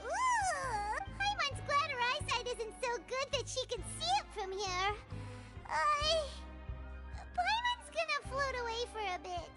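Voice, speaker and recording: A woman voicing an excitable tiny floating fairy companion who talks about herself in the third person, in game audio with music behind. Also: very high-pitched, measured pace, heavily acted. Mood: squeamish.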